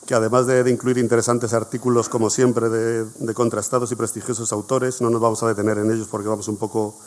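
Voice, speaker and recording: A man's voice: mid-range voice, level tone, fast talker, darkish tone. A man hosting a history conference, speaking off the cuff to the audience with many fillers and repeated words. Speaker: conference coordinator and host. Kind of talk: spontaneous presentation to an audience.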